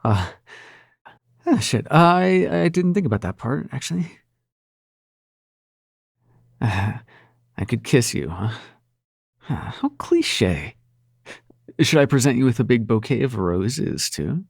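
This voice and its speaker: Casting: male actor as sardonic, sweary prince of nightmares, smitten and stammering, gravelly voice, dry close sound, intimate audio drama. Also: mid-range voice, sing-song, measured pace, pauses often, no echo, full character voice. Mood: flirty, nervous. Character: male supernatural prince of nightmares, brash, flustered, smitten lover. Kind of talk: intimate audio roleplay acting.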